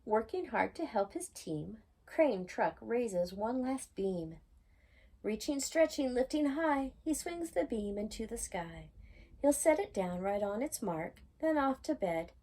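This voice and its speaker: Slow, mellow woman reading a bedtime story. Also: a little high, lively intonation. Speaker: children's storytime reader. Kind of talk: read-aloud children's bedtime picture book narration.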